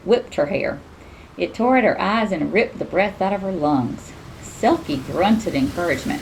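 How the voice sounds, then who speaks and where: Low-voiced woman reading a storybook, gravelly edge. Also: a little mellow, a little hiss or hum, poor audio. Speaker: teacher reading a children's book aloud. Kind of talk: expressive storybook read-aloud.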